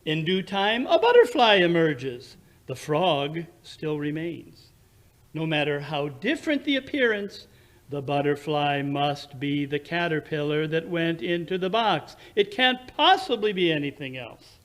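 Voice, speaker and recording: A man playing a clever, patient gentleman detective, lecturing deliberately in old-time radio style. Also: fairly high pitch, sing-song.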